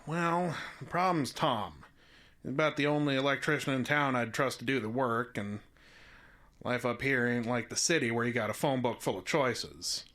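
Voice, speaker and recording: A bright, forceful male voice at medium pitch, an actor playing a small-town contractor in an audio drama. He talks in a folksy, easygoing, colloquial way. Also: normal speed, lightly acted. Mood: folksy, apologetic.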